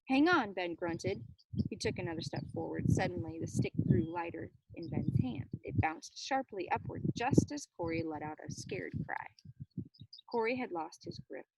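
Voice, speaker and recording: A woman's voice: lowish voice, gravelly, a little mellow, breathy edge, dry recording, low-quality recording. A woman reading a children's novel aloud with dramatic, suspenseful narration. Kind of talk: expressive read-aloud narration.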